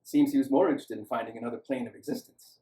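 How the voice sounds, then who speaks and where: A man voicing a grieving, guarded young man's sister in a story, quiet and wistful. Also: medium pitch, expressive, rapid-fire, lightly acted. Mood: bitter, sad. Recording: roomy, echoing, distant microphone.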